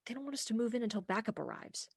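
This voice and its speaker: A young woman acting in a comic radio play as an earnest, headstrong police officer, brisk and argumentative. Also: fairly high pitch, sing-song, gravelly, husky, dry recording, studio quality, exaggerated voice. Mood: brisk, official. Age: young adult.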